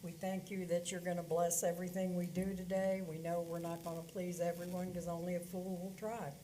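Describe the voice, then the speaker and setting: A woman's voice: fairly low pitch, flat delivery, brisk, warm tone, slight background noise, low-quality recording. A woman board member leading a plainspoken, folksy invocation. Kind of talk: spoken prayer and casual chat. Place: county government meeting room.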